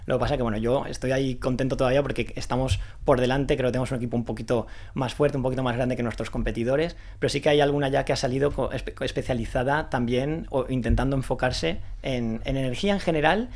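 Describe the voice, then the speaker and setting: Fast-talking man, guest founder. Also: mid-range voice, fairly even intonation, darkish tone. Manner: fast talker with many restarts and fillers. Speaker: founder of a renewable energy recruitment consultancy. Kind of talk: casual interview answers.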